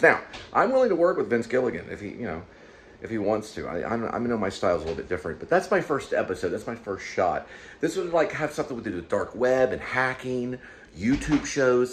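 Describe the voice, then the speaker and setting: A man giving casual solo commentary in a rambling, hesitant way, often circling back and repeating himself. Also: lowish voice. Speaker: YouTube show host and amateur writer. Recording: steady background room noise.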